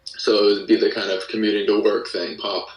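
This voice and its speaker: A man with a lowish voice chats as an interview guest in a halting, hesitant manner. He sounds set back from the mic in a very echoey room. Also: little pitch movement. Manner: hesitant, halting delivery with frequent hedging. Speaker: podcast guest, musician and creator of an audio drama. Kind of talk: casual interview chat. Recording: roomy echo, distant from the mic.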